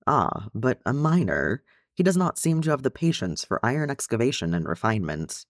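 A man's voice: medium pitch, sing-song, moderate pace, vocal fry, dry recording, studio quality. A man voicing a proud, skeptical older dwarf lord in an audiobook story, with a formal, disapproving manner. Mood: musing.

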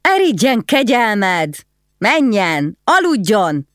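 A woman's voice: mid-range voice, animated, clear, resonant tone, no echo, studio quality, pitched above the performer's own voice, natural voice. A woman voicing a proud noblewoman who speaks to her husband in a cool, firm, commanding way. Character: proud, stern noblewoman wife.

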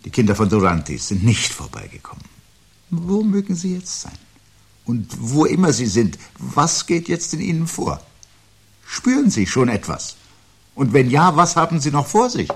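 An actor playing a weary, sardonic husband in a 1970s German radio drama, speaking deliberately and rhetorically. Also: male voice, fairly high pitch, huge pitch swings, slightly rough, exaggerated voice. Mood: agitated bitterness. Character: weary, bitter, sardonic husband awaiting catastrophe.

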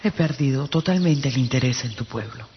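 A man playing a stern, haughty monarch in a radio drama, declaiming solemnly and deliberately. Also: mid-range voice, animated, dull audio, slight background noise, lightly acted. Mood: appalled, commanding. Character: stern, haughty monarch of giants condemning humankind. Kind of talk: theatrical radio drama acting.

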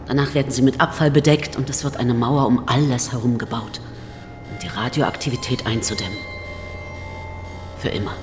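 A woman's voice: rumbling low, normal speed, long pauses. An actress playing a cold, commanding Soviet woman scientist who guards a state secret in a radio drama. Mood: grave, stern. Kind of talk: German radio drama acting. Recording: reverberant hall, music underneath.

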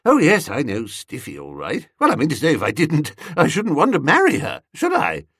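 Man playing a breezy, foppish young gentleman. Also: fairly high pitch, wildly swooping pitch, normal speed, husky, no echo, clean studio sound, pitched above the performer's own voice, full character voice. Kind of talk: comic audiobook character.

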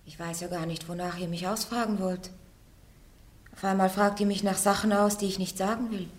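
A woman's voice: medium pitch, fairly even intonation, a little fast, natural voice. An actress playing a stubborn young peasant girl on trial, speaking plainly and without fear. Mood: defiant. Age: young adult.

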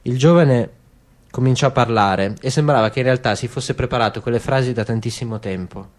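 A man narrating a literary story in a radio drama reading, steady and clear. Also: mid-range voice, brisk.

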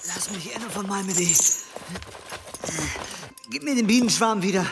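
A man's voice: high-pitched, moderate pace, creaky, very noisy. A man playing a desperate, guilt-ridden young penitent monk in a German audio drama. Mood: desperate, pleading. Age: young adult. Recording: echoing hall reverb, music behind.

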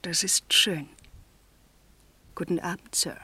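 A woman playing a discreet, soft-spoken English miss acting as go-between in a 1970s German radio play, with hushed, halting phrasing. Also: fairly low pitch, steady tone, heavy background noise. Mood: conciliatory. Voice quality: breathy, hushed.

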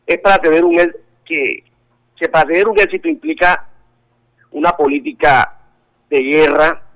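A man's voice: on the high side, moderate pace, fairly bright tone. A Colombian man interviewed by phone, speaking earnestly, often hesitating and repeating words as he searches for them. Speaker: interview guest. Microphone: telephone. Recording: tinny phone line.

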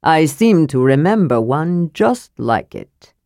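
A woman voicing a mysterious ancient male dwarf in a fantasy audiobook, mild and knowing, with a strong, deep voice. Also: sing-song, slow, dark tone, dry recording, clean studio sound, heavily acted.

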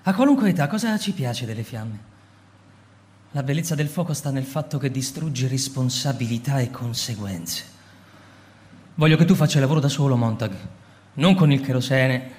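An actor playing a cold, mocking middle-aged fire captain in a radio drama, taunting and sneering. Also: male voice, mid-range voice, lively intonation, normal speed, long pauses, a little mellow, natural voice. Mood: calm menacing.

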